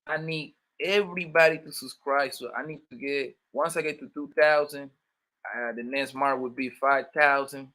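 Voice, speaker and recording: New york dude on a livestream, bright voice, joking around w the chat. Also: male voice, mid-range voice, fairly even intonation, moderate pace. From born in Colombia, raised in Brooklyn, New York. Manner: loose, joking, talks to chat viewers by name. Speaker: livestream host.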